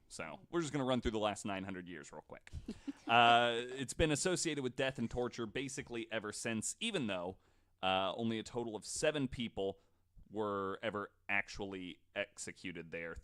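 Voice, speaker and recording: A man's voice: fairly low pitch, a bit bright. A man hosting a podcast, telling stories to co-hosts in a casual, joking, informal way with some swearing. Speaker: podcast host.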